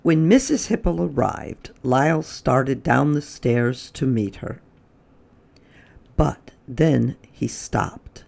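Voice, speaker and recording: A woman reading a children's story aloud as a storytime host, with dramatic emphasis and drawn-out words. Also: very deep voice, animated, gravelly, a little mellow. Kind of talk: expressive read-aloud storytelling.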